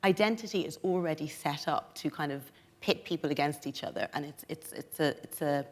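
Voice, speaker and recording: A woman with a softened Irish accent speaking analytically and articulately as a panellist in a live discussion in a theatre. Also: deep voice, a little fast. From Dublin, Ireland. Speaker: debate panellist. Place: on stage in a theatre. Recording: echoey theatre hall.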